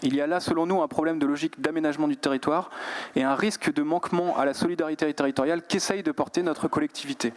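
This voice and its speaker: Guy from brittany doing a formal council speech, voice a bit on the high side, measured and articulate. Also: steady tone. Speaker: regional councillor giving a speech. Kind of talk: formal political speech in a council session, partly read.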